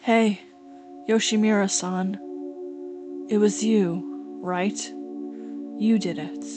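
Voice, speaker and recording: A woman's voice: mid-range voice, fairly even intonation, slow, music in the background, close to an everyday voice. A woman voicing a suspicious, frightened young lady in a horror drama, tense and accusing. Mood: quietly accusing.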